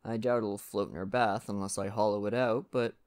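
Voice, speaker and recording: A man playing a friendly, folksy lumberjack in a soft-spoken ASMR roleplay, talking gently and reassuringly to the listener. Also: on the low side, fairly even intonation, a little fast, clean tone, lightly acted. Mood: relaxed, friendly. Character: friendly Canadian lumberjack, gentle nature-loving father.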